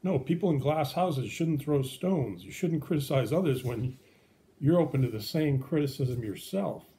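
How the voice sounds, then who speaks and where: A man's voice: medium pitch, lively intonation, moderate pace, mellow. A man speaking as a pastor and puppet show host, explaining things simply and patiently to children.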